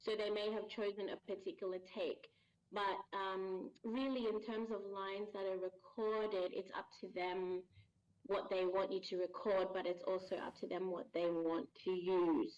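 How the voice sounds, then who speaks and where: A woman speaking at a middle pitch, measured and reflective, taking her time over each answer. Her voice comes through a dull, lo-fi recording. She talks casually as a guest voice actor. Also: flat delivery, darkish tone. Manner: measured, thoughtful, explains at length with pauses. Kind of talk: casual interview chat. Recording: dull lo-fi sound.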